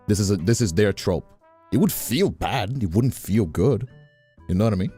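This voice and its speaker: A man chatting casually on a livestream, emphatic and animated, often repeating himself as he makes a point. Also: fairly low pitch, moderate pace, husky, soft background music. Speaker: streamer. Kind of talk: casual conversational chat on a livestream.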